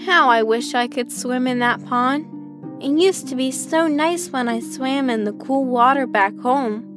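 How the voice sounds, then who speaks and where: High-pitched lonely little duckling. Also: female voice, measured pace. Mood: wistful. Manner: plaintive, earnest. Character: lonely, gentle young ugly duckling. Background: music.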